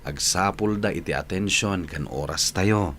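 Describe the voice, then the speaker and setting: Joshing low-voiced Filipino buddy. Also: male voice, unhurried, a bit bright, a little hiss or hum. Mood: advising, friendly. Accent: Northern Philippine Ilocano. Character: teasing, advice-giving male workmate and buddy. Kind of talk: Ilocano radio drama acting.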